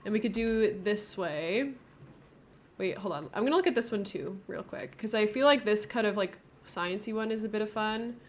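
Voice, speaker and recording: A woman's voice: medium pitch, normal speed, slight background noise. Chatty, giggly woman live-streaming a game. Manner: chatty, thinking aloud, giggly. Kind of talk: casual live-stream chat while building in a game.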